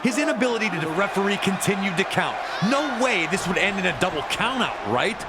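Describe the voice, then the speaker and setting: A man's voice: sits high, sing-song, fairly quick. A man narrating wrestling action from a script in a dramatic, emphatic manner. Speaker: sports narrator. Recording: loud crowd noise behind voice. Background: roaring arena crowd.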